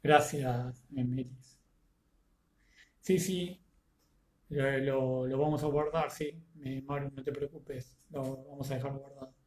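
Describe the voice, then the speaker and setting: A man with a Rioplatense Spanish accent, congested with a cold and fever, greeting students by name before a live online lecture, with many halting fillers. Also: medium pitch, fairly even intonation, echoey room. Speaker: teacher.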